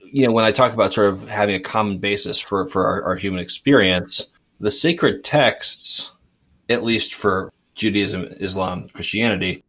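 A deep-voiced man from suburban Boston muses aloud on a casual podcast. He circles back and restarts his sentences as he works out his thoughts. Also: normal speed, a bit bright. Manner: thoughtful, hesitant, restarts often. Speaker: podcast co-host. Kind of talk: casual discussion.

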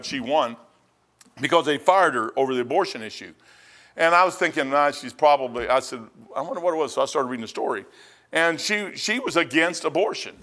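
A man's voice: fairly high pitch, a bit bright. A man, preaching a rambling, conversational sermon and often repeating himself. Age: 60s. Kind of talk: folksy sermon.

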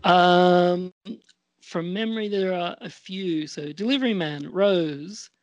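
A woman in her forties co-hosts a podcast in casual conversation. She talks slowly and hesitantly, wandering through her thoughts. The sound is dry, with next to no room on it. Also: lowish voice, dry recording. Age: 40s. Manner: hesitant, rambling. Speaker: podcast co-host. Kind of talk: casual chat.

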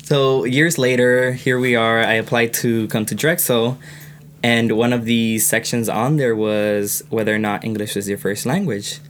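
A young man from California talking earnestly on a podcast, getting animated as he tells his own story. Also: mid-range voice, measured pace, a little hiss or hum. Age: young adult. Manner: earnest, animated when retelling. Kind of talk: casual podcast conversation.

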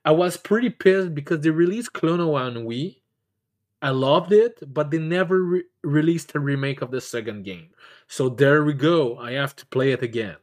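Higher-voiced man, heavily stammering podcaster. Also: moderate pace. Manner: stammering, repeats words often; casually foul-mouthed. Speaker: podcast host. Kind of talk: casual chat about video games.

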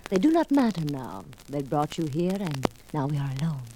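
An actress playing a mysterious, seductive and menacing woman in a radio drama, speaking in formal, measured, foreign-sounding phrases. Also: low-pitched, big pitch swings. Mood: soothing, probing. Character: mysterious, seductive, menacing female agent of exalted leader. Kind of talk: 1940s radio drama acting. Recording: noisy old radio recording.

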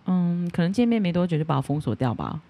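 A woman's voice: fairly low pitch, very animated, fast, mellow. A woman with a Taiwanese accent talking casually and candidly as a podcast guest. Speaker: podcast guest, audio creator.

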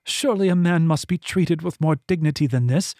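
A man putting on an earnest, rule-following young lad with a raised, gentle pitch. He pleads in a sincere, principled tone. The sound is dry and close. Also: fairly quick, no echo, close to an everyday voice.

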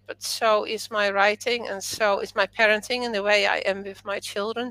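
A woman's voice: mid-range voice, sharp tone, no room sound, rough recording. A middle-aged woman whose first language is Dutch, a podcast guest speaking in a reflective, thoughtful way in long flowing sentences. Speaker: author and teacher, podcast guest. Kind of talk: conversational interview.

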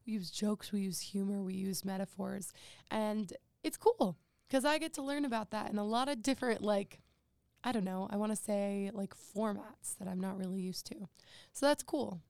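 A young college woman talks through a casual podcast chat, her voice full of gravelly vocal fry. She pokes fun at herself and leans on filler words. The sound is dry and close to the mic. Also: mid-range voice, normal speed, darkish tone, no room sound. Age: young adult. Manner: chatty, self-deprecating, many fillers. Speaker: podcast co-host. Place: home room.

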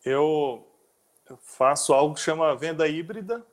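A man hosting a casual interview, talking in a halting way with frequent restarts. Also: a little high, a little hiss or hum. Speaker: interview host.

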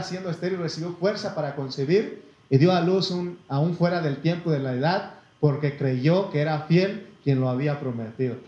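A man's voice: on the high side, darkish tone. A man preaching a sermon with a Mexican Spanish accent, reading Bible verses and speaking folksily to his listeners as brother. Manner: folksy, addresses listeners as brother, asks for amens. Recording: echoey room, slightly muffled.

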